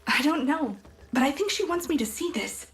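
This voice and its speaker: Game role: a resolute young fighter, high voice, roomy echo, commanding. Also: female voice, lively intonation, moderate pace. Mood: troubled, resolute. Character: determined, commanding young space warrior. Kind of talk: video game character dialogue. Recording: roomy echo, faint music behind.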